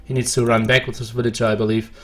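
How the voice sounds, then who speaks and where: A man casting a live strategy game, calling the action play-by-play in a brisk manner. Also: mid-range voice, flat delivery. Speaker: esports caster.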